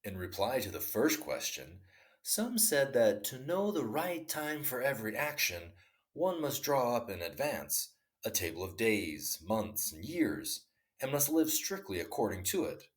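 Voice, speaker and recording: A man reading a classic short story aloud in an expressive, dramatic storytelling style. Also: mid-range voice, measured pace. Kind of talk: read-aloud story narration.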